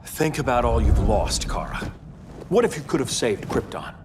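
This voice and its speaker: A man's voice: mid-range voice, sing-song, normal speed, background noise, lightly acted. An actor playing a grimly self-righteous, authoritarian superhuman man in a video game cutscene, speaking with commanding intensity. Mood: pleading, intense. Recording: loud cinematic score over voice.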